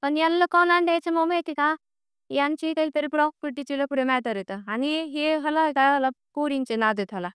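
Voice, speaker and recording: A woman's voice: high voice, brisk, a bit bright, no echo, clean studio sound. A young woman, speaking insistently and pleadingly as she airs a grievance on a recorded phone call. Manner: insistent, aggrieved, pleading, repeats herself. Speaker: caller with a personal grievance. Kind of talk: emotional private phone conversation.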